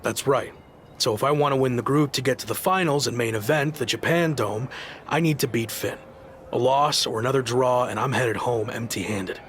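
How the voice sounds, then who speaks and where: A determined underdog male wrestler in a video game story, played by an actor. He speaks at a medium pitch, firm, serious and plainspoken. Light noise sits behind the voice. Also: normal speed, a bit bright, lightly acted. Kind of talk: video game cutscene acting.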